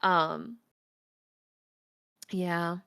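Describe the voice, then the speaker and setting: A woman with a deep voice presents to a livestream audience. She talks in a chatty, candid way and often pauses with hesitant fillers. Her delivery stays plain and informal. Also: vocal fry, sharp tone, clear, resonant tone. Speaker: guest presenter.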